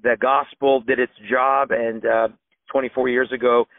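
A man in his fifties, from Iran, giving his personal testimony as a guest in a steady, reflective way. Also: mid-range voice, normal speed, no echo. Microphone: phone. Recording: dry, tinny phone line.

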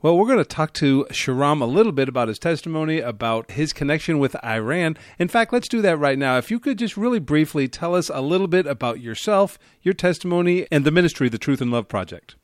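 A man co-hosting a radio program who introduces and welcomes the guest in a friendly, smooth way. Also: fairly high pitch, animated, fairly quick, few pauses, no room sound, studio quality. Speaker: co-host.

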